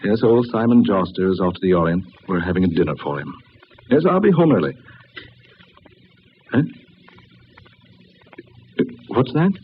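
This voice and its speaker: An actor playing a genteel, uneasy, well-to-do gentleman in an old radio drama, with a dramatic delivery. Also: deep voice, big pitch swings, normal speed, pauses often. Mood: genteel, courteous. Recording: muffled, noisy old radio recording.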